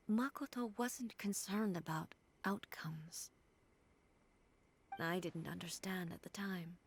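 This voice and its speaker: A woman voicing a dignified female ruler in a video game, speaking in a measured, formal and reflective way. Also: medium pitch, a little mellow, husky. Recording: video game audio with faint music.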